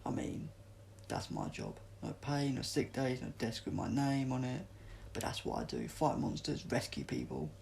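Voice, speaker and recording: A man's voice: mid-range voice, huge pitch swings, normal speed, gravelly, few pauses, darkish tone, a little hiss or hum, exaggerated voice. A man reading the part of an emphatic, commanding heroic doctor in a storybook. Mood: resolute. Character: urgent, exasperated heroic male alien doctor.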